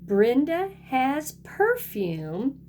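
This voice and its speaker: A woman's voice: high-pitched, very animated, extremely slow, a little mellow, slight background noise. A woman kindergarten teacher reading a picture book aloud and talking directly to young children in a sing-song way.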